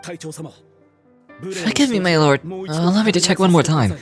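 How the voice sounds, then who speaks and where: An actor playing a deferential military subordinate in a video game, respectful, formal and a little hesitant. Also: male voice, sits high, expressive, measured pace, music in the background. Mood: dutiful, hesitant. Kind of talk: dramatic game voice acting.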